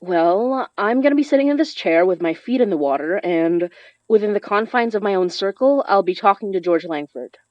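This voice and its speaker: Determined young female occultist acted by a woman, medium pitch, casual delivery, dry podcast drama sound. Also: expressive, a little fast, no echo, natural voice. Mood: serious. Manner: casual, instructive, self-possessed. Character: determined, informal young woman who summons spirits. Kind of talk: horror audio drama acting. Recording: dry, slightly dull studio sound.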